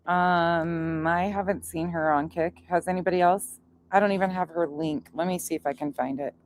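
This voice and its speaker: A woman's voice: lowish voice, fairly even intonation, moderate pace. A woman commentating casually on a livestream and chatting with viewers, blunt, profane and scornful in manner. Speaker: livestream host commenting on played clips.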